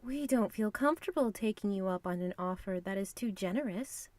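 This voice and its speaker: A courteous, knowing woman of divine nature voiced by an actress speaks at a middle pitch. Her phrasing is formal, measured and frank, recorded close and dry for an audio drama. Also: animated, a little mellow, no echo, lightly acted. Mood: polite.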